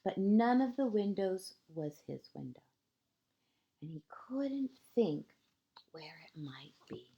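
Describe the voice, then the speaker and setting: A woman reading a picture book aloud to young children like a kindergarten teacher, gently and expressively, pausing between short phrases and often letting endings fall to a hush. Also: mid-range voice, very animated, creaky, muffled recording. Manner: deliberate pauses, short phrases, asides to young listeners.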